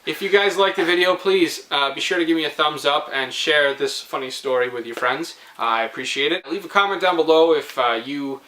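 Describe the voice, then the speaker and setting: Young male vlogger, playful and rambling storyteller, forceful bright tone, roomy echo. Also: a little high, fairly quick, hardly any pauses. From Canada. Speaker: host of a storytelling video series. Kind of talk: casual comic storytelling to viewers.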